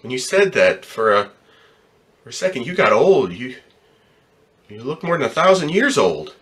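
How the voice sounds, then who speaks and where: A man's voice: mid-range voice, sing-song, normal speed, fairly bright tone. A man acting in a radio drama as a troubled, weary, plain-spoken ambulance driver, stammering and repeating his words. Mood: shaken, grieving.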